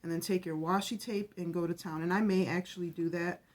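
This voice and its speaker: A woman's voice: lowish voice, steady tone, brisk. Chatty woman with a scratchy morning voice. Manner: chatty, rambling, self-correcting. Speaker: craft channel host. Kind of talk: casual craft tutorial.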